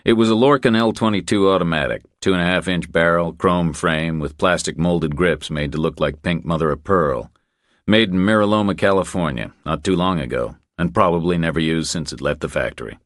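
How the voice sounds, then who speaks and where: A man narrating a crime thriller audiobook in a measured, deliberate, scripted style. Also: low voice, no room sound, studio quality.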